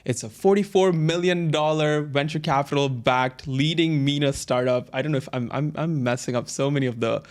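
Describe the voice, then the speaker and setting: A man's voice: fairly high pitch, moderate pace, few pauses. A man hosting a podcast interview, talking casually and hesitantly, with many fillers and laughs. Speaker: podcast host.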